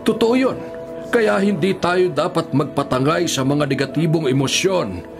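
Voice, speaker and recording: An actor playing a devout, patient elderly prisoner in a radio drama, with a Filipino accent and an earnest, preachy, measured way of talking. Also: male voice, fairly high pitch, gravelly, over music. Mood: earnest, pleading. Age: older. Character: kindly, patient, devout elderly male prisoner giving counsel.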